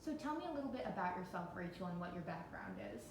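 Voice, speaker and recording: A woman's voice: animated, fast. A woman interviewing and coaching in an encouraging, informal way. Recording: roomy, noisy phone recording with rumble.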